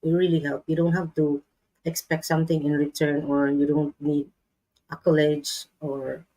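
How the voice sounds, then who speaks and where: A woman with a Filipino accent, chatting as a guest in a live-stream interview, laughing often and pausing to search for words. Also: deep voice, flat delivery, dark tone, low-quality recording. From Philippines.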